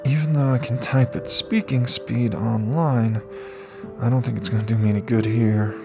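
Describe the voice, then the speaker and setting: A man's voice: medium pitch, fairly even intonation, moderate pace, gravelly, over music, close to an everyday voice. A man voicing a frustrated, self-mocking young man in an audiobook, muttering and complaining. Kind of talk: audiobook character reading.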